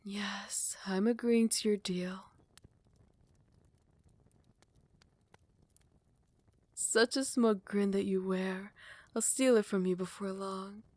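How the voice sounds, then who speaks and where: A woman playing a possessive yet tender royal vampire in love, speaking in formal, old-fashioned phrasing with breathy half-whispers in an intimate ASMR roleplay. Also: mid-range voice, steady tone, measured pace, darkish tone, no room sound, close to an everyday voice. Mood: smug, impatient.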